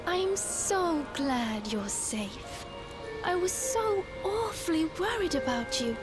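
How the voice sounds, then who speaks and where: An actress playing a dainty queen who feigns sweet concern in a video game, speaking in a genteel, gushing, deliberate way. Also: extremely high pitch, sing-song, over loud music, full character voice. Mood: sweetly relieved.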